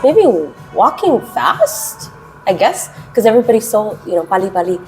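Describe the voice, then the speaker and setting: A woman from the United States in her twenties, answering interview questions casually and with energy; she speaks with a naturally loud voice that she keeps held down. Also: medium pitch, faint music behind, rough recording. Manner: animated, chatty, drawn-out words. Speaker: interviewee, English teacher.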